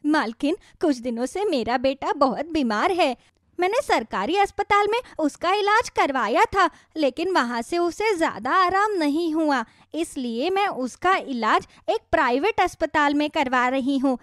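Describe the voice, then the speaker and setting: Woman playing a high-voiced, pleading housemaid. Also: lively intonation, measured pace, fairly bright tone, dry recording, clean studio sound. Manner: deferential, pleading. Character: humble, pleading housemaid, worried mother. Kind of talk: children's moral story cartoon.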